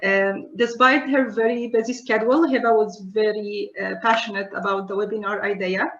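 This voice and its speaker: Female webinar host, polite and formal, mid-pitched, roomy and dull audio. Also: fairly even intonation, moderate pace, faint music behind. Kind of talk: introducing a speaker at a webinar. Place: online webinar connection.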